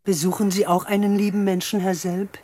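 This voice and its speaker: An actress playing a plain-spoken, resigned elderly widow in a radio drama. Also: female voice, medium pitch, little pitch movement, brisk, warm tone, dry recording, clean studio sound, natural voice. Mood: resigned, plaintive. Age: older.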